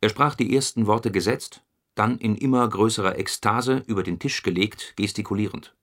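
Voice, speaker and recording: A man's voice: fairly low pitch, moderate pace, fairly bright tone. A man reading scripted material as an announcer in a radio documentary, formal and official in manner.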